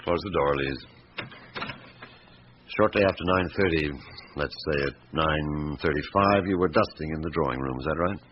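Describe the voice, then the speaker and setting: An actor playing a stern, methodical French police chief inspector who questions with measured authority in a radio drama. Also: male voice, a bass voice. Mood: grave, firm. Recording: muffled old radio recording, background hiss.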